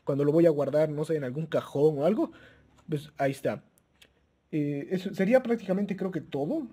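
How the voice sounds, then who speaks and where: A man with a husky, somewhat high voice walks viewers through a gadget in a relaxed, chatty demo. He stumbles and restarts often, filling pauses with "eh", and breaks into small chuckles. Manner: hesitant, frequent restarts and "eh" fillers, addresses viewers as friends; chuckles often while talking. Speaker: radio gear reviewer. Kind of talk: casual product demo.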